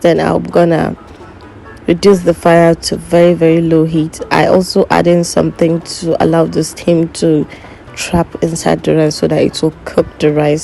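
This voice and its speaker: A young woman narrates a cooking video in English, which is not her first language, talking through each step slowly and pausing to fix her own slips. Her voice sits fairly low, with a gravelly, mellow tone. A faint hiss of noise runs under the recording. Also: low-quality recording. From Nigeria. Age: young adult. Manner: unhurried, self-correcting, instructive. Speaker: cooking vlogger.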